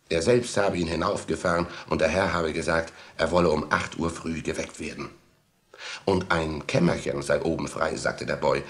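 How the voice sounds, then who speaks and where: A man narrating a children's story as the narrator of a 1960s radio play. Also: sits low.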